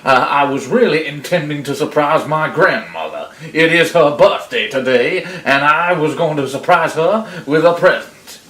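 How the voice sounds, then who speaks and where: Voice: mid-range voice, expressive, normal speed, few pauses, a bit bright, natural voice. A performer voicing a sly, stammering wolf who bluffs in a storybook tale, recorded in an echoey room. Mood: flustered. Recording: roomy echo, clear background noise.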